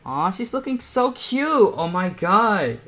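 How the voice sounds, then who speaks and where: A young man hosting an online art panel, chatting casually and excitably as he draws, thinking aloud. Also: high-pitched, slow pace. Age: teens or young adult. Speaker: host of an online painting panel. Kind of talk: casual chat while drawing live.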